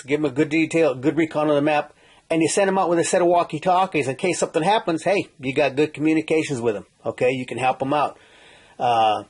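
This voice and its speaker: A man's voice: on the high side, a little mellow. A middle-aged man with a folksy manner, giving an informal survival how-to talk and often checking in with "okay?" as he goes. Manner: folksy, repeats "okay?", many restarts and "uh". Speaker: survival instructor.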